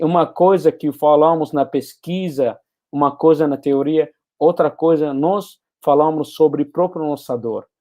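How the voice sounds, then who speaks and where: Mellow, higher-voiced man speaking earnestly. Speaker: panel speaker. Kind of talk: earnest panel speech.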